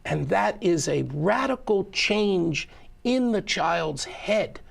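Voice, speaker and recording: A talk-show host with a strongly gravelly, fry-heavy voice pitched a bit high for a man. He explains at length in casual studio chat and often stumbles and restarts his words. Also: lively intonation, takes it slowly. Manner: stammering restarts, repeats words, talkative explainer.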